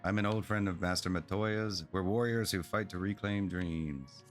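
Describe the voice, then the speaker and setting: A man streaming a game, reading the player's lines aloud in a plain, low-key way. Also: normal speed, soft background music.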